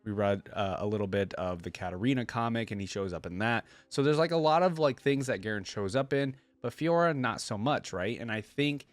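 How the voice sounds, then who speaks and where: A man's voice: fairly low pitch, lively intonation, a little fast, hardly any pauses, no room sound. Casual, rambling man in a dry close recording. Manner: rambling, conversational, frequent rhetorical "right?". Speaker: reaction video host. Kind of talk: casual unscripted game lore commentary.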